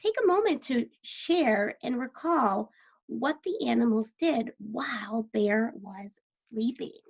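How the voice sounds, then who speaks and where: A woman teaching young children about a story in a fairly high voice with noticeable creak. She speaks slowly, puts questions to her listeners and encourages their answers. The recording is somewhat dull and lo-fi. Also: expressive. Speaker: elementary school teacher. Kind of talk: read-aloud lesson talk to young children. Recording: dull lo-fi sound.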